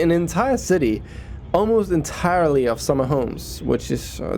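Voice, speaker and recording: A man's voice: a little high, dark tone, slight background noise. A Danish man with a Danish accent, a native Danish speaker, talking in English as a transit vlogger. He explains things in an earnest, informal way. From Denmark.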